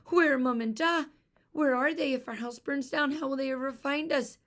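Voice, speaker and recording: A woman acts out a frightened little sister from a children's storybook. The voice leaps high and shrill, pleading and tearful, on the edge of hysteria. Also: moderate pace, a bit bright. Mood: panicked, tearful. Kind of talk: children's storybook read aloud.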